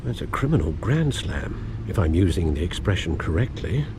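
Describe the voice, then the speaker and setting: An actor playing an elderly, loyal butler in a video game, formal and fatherly, with dry wit and gentle chiding. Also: low voice, sing-song, moderate pace, background noise. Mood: measured, troubled. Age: older.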